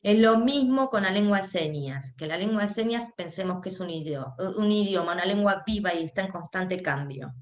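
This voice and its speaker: A woman with an Argentine accent gives live spoken interpretation for a deaf panelist at a discussion. She speaks haltingly, often pausing and restarting. The recording sounds roomy and muffled, like lo-fi audio from an online talk. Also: mid-range voice, lively intonation. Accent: Argentine Spanish. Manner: halting, with frequent restarts and pauses while interpreting. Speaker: sign language interpreter voicing a deaf panelist.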